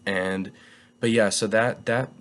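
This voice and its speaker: A man's voice: on the low side, steady tone, slow pace, a bit bright. A young man casually reviewing a book, getting over a cold, talking in a hesitant, rambling way full of filler words.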